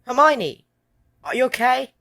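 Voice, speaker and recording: A woman plays an earnest young schoolboy wizard. The voice is very animated and recorded close and dry, cautious in temper, for an audio play. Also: sits high, measured pace, no echo, full character voice. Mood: concerned. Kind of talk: audio drama acting.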